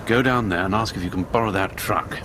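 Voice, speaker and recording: Actor as sardonic, low-voiced English commando major. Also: male voice, fairly quick, natural voice. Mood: brisk, wry. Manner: clipped, dry, commanding. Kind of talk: radio drama acting. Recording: radio drama with outdoor vehicle noise.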